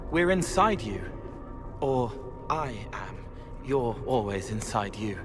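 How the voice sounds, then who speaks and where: An actor playing a gentle, cryptic young spirit who wants to help, speaking in halting, poetic fragments in a video game. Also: male voice, mid-range voice, sing-song, over music. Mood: earnest, gentle. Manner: halting, earnest, poetic, rambling. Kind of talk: dramatic video game acting.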